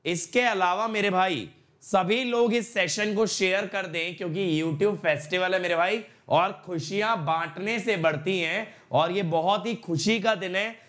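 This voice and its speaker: A young man with a sore throat, hosting an online exam-prep class, mixing Hindi with English and repeating his points with energy. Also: sits high. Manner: repetitive, energetic, coaxing viewers with "my brother". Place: studio in front of a slide screen.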